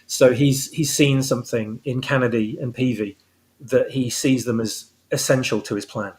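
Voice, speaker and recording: A man's voice: mid-range voice, steady tone, moderate pace, a little mellow. A man talking casually as an interview guest, explaining his own story and rephrasing as he goes. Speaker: guest, audio drama writer.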